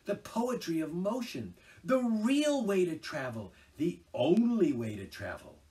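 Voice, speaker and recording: A boastful, dream-struck toad gushes breathlessly about his new obsession, as a man puts him on. The voice leaps high and swoops wildly from word to word. It is a storybook reading, caught a little distant from the microphone. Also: sing-song, measured pace, warm tone. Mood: rapturous.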